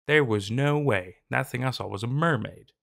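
Gravelly young man narrating a creepy tale. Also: medium pitch, moderate pace. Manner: rambling, hesitant with many fillers in commentary. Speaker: horror story narrator. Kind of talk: scripted story narration and casual commentary. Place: small room in front of green screen.

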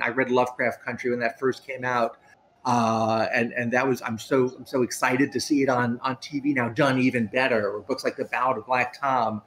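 A man's voice: mid-range voice, low-quality recording. Man chatting over noticeable background noise. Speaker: panelist. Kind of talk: panel discussion, casual opinion. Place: indoors, on a video call. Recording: steady background noise, video call.

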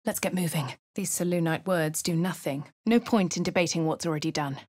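A woman's voice: fairly low pitch, brisk, a little mellow, no room sound, studio quality, close to an everyday voice. Woman voicing a posh, wry female adventurer. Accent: posh English. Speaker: player character. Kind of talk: game voice lines and narration. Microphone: close studio mic, dry.

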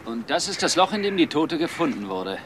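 An actor playing a polite, probing police detective with a businesslike, questioning manner in a crime radio play. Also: male voice, fairly high pitch, big pitch swings, brisk. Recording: noisy outdoor scene ambience.

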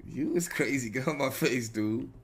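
A man's voice: medium pitch, normal speed, lightly acted. A man playing a cocky young gangster in an online role-play game, talking in slangy, sweary, joking street talk. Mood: amused, dismissive. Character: cocky young male gangster jailed, reassuring his woman.

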